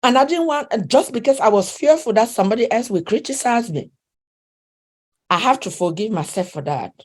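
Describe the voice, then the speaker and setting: A woman's voice: fairly high pitch, expressive, normal speed, husky. A woman speaking English with a non-native accent, a relationship coach talking earnestly in an interview, often restarting sentences. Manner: earnest, with frequent restarts and soft laughs. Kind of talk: conversational interview.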